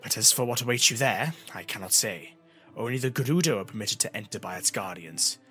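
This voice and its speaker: A man's voice: lowish voice, lively intonation, fairly quick, pushed and bright, soft background music. A man voicing a solemn, foreboding male seer who speaks formally and emphatically in a fantasy story. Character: grave, foreboding male time-travelling seer.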